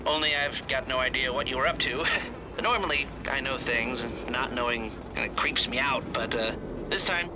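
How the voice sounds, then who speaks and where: A cagey middleman played by a man in a crime game, speaking at an ordinary male pitch. He chats hesitantly and jokes nervously, with loud outdoor traffic noise all around him. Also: normal speed, close to an everyday voice. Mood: cagey, uneasy. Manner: hesitant, chatty, nervously joking. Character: wary, evasive male underworld fixer who avoids knowing things. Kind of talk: video game cutscene acting.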